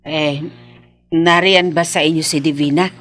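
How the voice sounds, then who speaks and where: A woman's voice: low voice, fairly even intonation, measured pace, a bit bright. An actress playing a worried, protective village mother in a radio drama, earnest and hesitant. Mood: hesitant, uneasy. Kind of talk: Filipino radio drama acting. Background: faint music.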